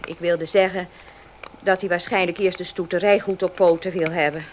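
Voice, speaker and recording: Female servant, respectful yet protesting, rather low pitch, faintly hissy radio play. Woman acting. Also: fairly even intonation, moderate pace, lightly acted. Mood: earnest. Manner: earnest, deferential, defensive. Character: loyal, respectful but protesting female servant of old mistress.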